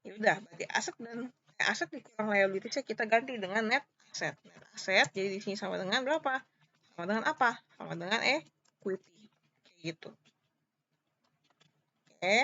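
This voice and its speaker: A woman lecturer with an Indonesian accent explains things informally, one step at a time. Her voice is bright and forward, at an average pitch for a woman. She repeats key points and often checks in with short questions, on a slightly muffled, lo-fi recording. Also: fairly quick, pauses often. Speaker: accounting lecturer.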